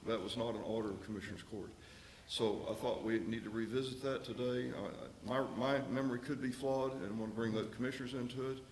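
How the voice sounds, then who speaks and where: A man leading a county commissioners meeting, speaking in a folksy, halting way with many pauses and restarts. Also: mid-range voice, steady tone, a little mellow. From Texas. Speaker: county official chairing meeting. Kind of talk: public meeting remarks. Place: county commissioners court room. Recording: echoey room mic with faint noise.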